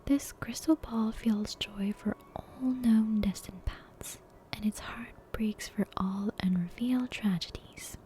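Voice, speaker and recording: A woman reading a bedtime story for ASMR in a breathy, unhurried whisper, soft and hushed. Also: mid-range voice, a little hiss or hum. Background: soft game soundtrack ambience.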